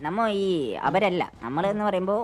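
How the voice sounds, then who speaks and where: A man's voice: high voice, brisk, slight background noise. A man arguing in character in an online game, insistently repeating his points. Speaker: gang member in an online role-play game. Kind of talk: casual in-character argument during GTA role-play.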